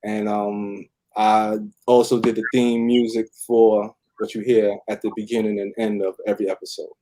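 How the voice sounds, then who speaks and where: Very mellow, dark-voiced man chatting. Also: fairly low pitch, little pitch movement, moderate pace, poor audio. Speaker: guest, voice actor and musician. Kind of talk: casual interview chat.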